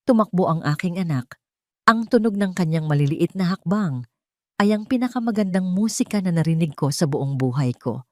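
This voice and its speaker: A woman telling a first-person story as a scripted drama, with an emotive and deliberate delivery. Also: lowish voice, lively intonation, warm tone, dry recording. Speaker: audio story narrator.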